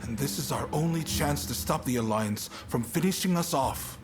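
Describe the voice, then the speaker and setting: A man acting a shrewd, grave samurai commander in a video game cutscene, speaking in a measured, resolute way. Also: medium pitch, expressive, music in the background, natural voice. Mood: frank, weary.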